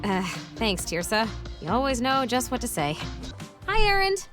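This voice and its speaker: A curious young huntress for a video game. Her voice is high and expressive, with a casual, wry friendliness. Faint music is behind her. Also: moderate pace. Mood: helpful.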